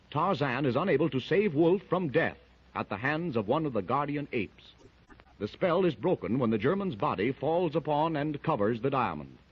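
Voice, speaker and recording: A man announcing a 1930s radio adventure serial and recapping the story in a clear, measured way. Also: medium pitch. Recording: dull lo-fi 1930s radio transcription.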